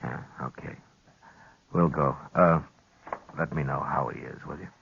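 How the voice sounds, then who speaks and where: An actor playing a gruff, measured Old West marshal in an old radio western, asking probing, steady questions. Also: male voice, a bass voice, slight background noise, exaggerated voice. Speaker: marshal character. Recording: dull lo-fi 1950s radio recording.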